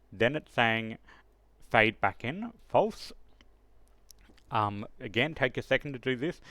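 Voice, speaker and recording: A man with a fairly low, gravelly voice walks through a software tutorial step by step. He thinks aloud as he explains each setting in an unscripted, instructional way. The recording is close and dry. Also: dry recording. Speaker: tutorial host.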